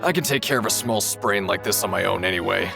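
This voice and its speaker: A man's voice: low-pitched, quick pace, creaky. A man voicing a sheepish, experienced work chief in a video game, casual and self-excusing. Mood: resigned. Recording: background music.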